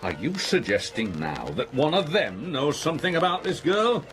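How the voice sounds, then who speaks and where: A man's voice: on the high side, very animated, moderate pace, a bit bright. An actor playing a pompous, irritable middle-aged factory owner and father in a radio drama, with a blustering, authoritative manner. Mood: blustering, wary. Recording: music under the voice.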